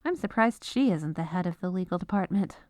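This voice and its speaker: A woman's voice: on the low side, animated, a little fast, a little mellow, no echo, clean studio sound, close to an everyday voice. A woman playing an exasperated, businesslike head lawyer at the North Pole in a scripted comedic audio roleplay. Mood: matter-of-fact. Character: exasperated, dutiful head legal advisor at Santa's North Pole.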